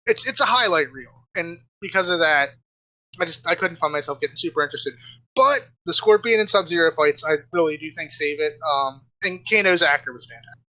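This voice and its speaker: A man with a notably high-pitched voice speaks in a very bright, sharp tone. He talks casually as a podcast panelist, putting his opinions in colloquial terms. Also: lively intonation, a little fast, low-quality recording.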